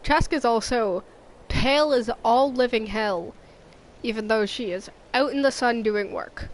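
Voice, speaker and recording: A woman's voice: on the high side, unhurried, low-quality recording. A woman streaming a game with casual, rambling commentary, playful and dryly sarcastic.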